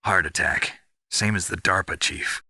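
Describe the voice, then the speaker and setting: A world-weary special forces veteran from a video game. His voice is deep and gravelly with a hard, very sharp edge, recorded close and dry. He speaks in terse, deadpan phrases. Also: unhurried, no echo, heavily acted. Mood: grim, businesslike. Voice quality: gravelly, husky. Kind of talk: dramatic video game voice acting.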